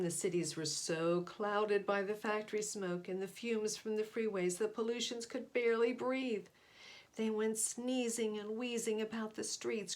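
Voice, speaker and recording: Expressive woman reading a children's storybook. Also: a little high. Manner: dramatic, emphatic. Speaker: storybook reader. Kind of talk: expressive children's read-aloud narration.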